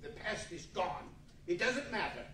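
A man's voice: high voice, sing-song, a little slow, pushed and bright, exaggerated voice. A man playing a grumpy, nervous old miser in a radio play, blustering and hesitant. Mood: dismissive. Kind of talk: 1940s radio play acting. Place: large indoor meeting room, live stage reading. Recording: echoey room, distant mic, faint noise.

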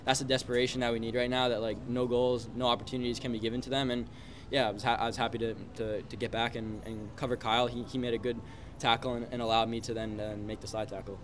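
A man in his twenties, a pro soccer player, answering reporters quickly after a match, often repeating words as he goes. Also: medium pitch, flat delivery. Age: 20s. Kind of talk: post-game media scrum. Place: busy stadium mixed zone, indoors. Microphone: reporters' phones and handheld mics held close. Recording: loud background chatter noise.

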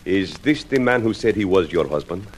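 An actor playing a weary, formal police inspector with a Spanish accent and florid phrasing in a 1950s radio drama. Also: male voice, deep voice, expressive, moderate pace. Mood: probing. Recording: noisy 1950s radio recording.

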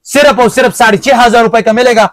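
Young man, high voice, insistent repetitive shop demonstrator, faint noise around him. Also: steady tone, quick pace. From Pakistan. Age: young adult. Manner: emphatic, repetitive salesman talk with frequent check-in questions. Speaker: shopkeeper demonstrating appliances. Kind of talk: market sales pitch. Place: small crowded appliance shop, indoors. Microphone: clip-on lapel mic.

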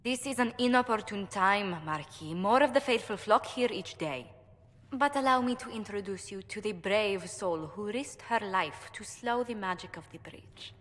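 An actress playing a gracious, diplomatic court ambassador in a fantasy video game. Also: female voice, mid-range voice, animated, moderate pace, a bit bright, close to an everyday voice. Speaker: game character. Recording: reverberant game audio.

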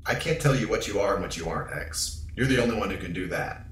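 A deep-voiced, caring AI companion played by a man speaks in a measured way for a sci-fi audio drama. The voice is echoey and set back from the mic. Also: lively intonation, a bit bright. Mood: reassuring. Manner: measured, reassuring. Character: calm, caring male artificial companion aboard a spaceship. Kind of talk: science fiction audio drama. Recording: echoing, distant, faintly noisy.

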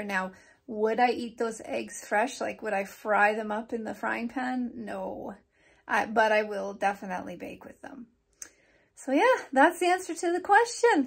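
A woman's voice: a little high, gravelly. A woman in her forties chatting casually to her phone camera for a daily vlog, rambling with fillers as she walks outdoors and sits in a home office. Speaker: vlogger. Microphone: phone held at arm's length.

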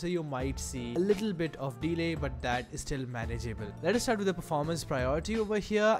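Higher-pitched man presenting a software tutorial. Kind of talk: screen-recorded tutorial narration. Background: background music.

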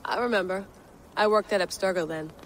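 A woman's voice: medium pitch, a little fast, slight background noise, natural voice. A woman playing a driven young agent. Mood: determined. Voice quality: intense, driven. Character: determined young female agent.

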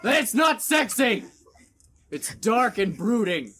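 A man voicing a brooding, melodramatic cop car that rambles and bursts into shouting, in an improvised cartoon parody dub. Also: high voice, very animated, slow, full character voice. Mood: agitated, ranting. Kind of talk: improvised comedy fandub.

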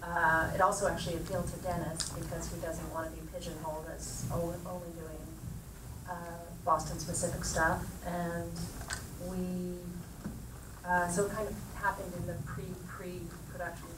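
A woman with a fairly low, even voice speaks into a handheld mic on a theater stage, reflective and full of fillers. The room echoes, the mic sits at a distance, and noise runs under her. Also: normal speed. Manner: warm, reflective, many fillers. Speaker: film producer. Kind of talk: panel Q&A answers.

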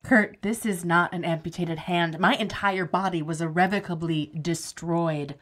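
A woman's voice: on the low side, steady tone, moderate pace, fairly bright tone. An actress playing a bitter, wry woman kept alive as a severed head in an audio drama, questioning and plaintive. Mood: bitter, anguished.

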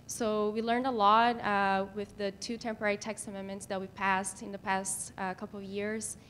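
A woman in her thirties speaks at a podium in a meeting room, explaining slides in a formal but slightly halting way. Her voice sits at a medium pitch. There is some low rumbling noise behind her. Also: fairly bright tone. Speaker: city planner presenting. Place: meeting room in a civic building. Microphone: podium microphone.

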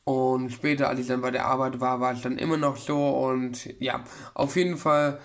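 Casting: young man, early twenties, webcam vlogger, flat monotone delivery, stop-start rambling full of fillers. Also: medium pitch, moderate pace. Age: about 21. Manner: rambling, many fillers and restarts. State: getting over a cold. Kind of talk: casual chat. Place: small room with bookshelf, indoors. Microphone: webcam at desk, close.

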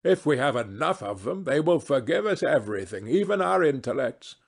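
A man's voice: mid-range voice, very animated, normal speed, vocal fry, husky, exaggerated voice. A man voicing a witty, cynical English aristocrat in a novel reading, languid and self-amused, speaking in epigrams. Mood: playful, provocative. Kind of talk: dramatised classic novel reading.

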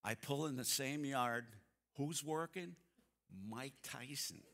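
A man in his seventies giving a church seminar talk, a folksy, animated storyteller who acts out the people in his stories. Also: medium pitch, takes it slowly, gravelly, a little hiss or hum. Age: 70s. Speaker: seminar speaker. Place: church sanctuary stage, seated. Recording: long hall reverb from the sanctuary.

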